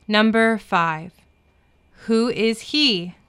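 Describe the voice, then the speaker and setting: A woman reads English practice dialogues for a children's lesson. Her voice is bright and very clean, and she takes each phrase slowly with careful enunciation. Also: a little high, smooth. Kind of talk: slow scripted language-lesson reading.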